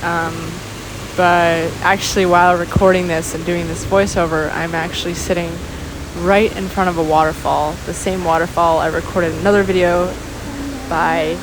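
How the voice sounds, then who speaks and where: A woman with a fairly low voice rambles casually in a vlog voice-over. She muses in halting phrases, one thought at a time. Steady rushing waterfall noise is clearly audible behind her outdoor recording. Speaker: vlogger.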